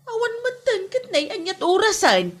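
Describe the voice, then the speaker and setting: A woman's voice: very high-pitched, sing-song, normal speed. A woman playing an exasperated, worried village mother of a grown son, with an Ilocano Filipino accent, in a comedy audio drama.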